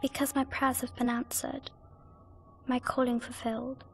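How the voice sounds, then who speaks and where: A woman playing a gentle, solemn young noblewoman in a video game, speaking formally and poetically in a breathy near-whisper. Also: a little high, level tone, normal speed, slight background noise, natural voice. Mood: tender, solemn.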